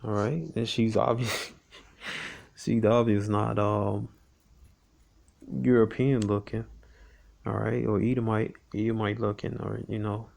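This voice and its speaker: A man teaching for a religious group, reading an article aloud haltingly and breaking in often with his own comments. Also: on the low side, level tone, vocal fry, darkish tone. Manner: halting reading, constant "all right" interjections.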